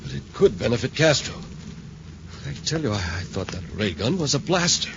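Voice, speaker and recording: An actor playing a frightened, overwrought scientist who stammers urgently, in 1950s radio serial style. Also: male voice, medium pitch, big pitch swings, moderate pace, gravelly. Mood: urgent, agitated. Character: rattled, frightened male scientist doctor, inventor of a brain machine. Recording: noisy, muffled 1950s radio recording.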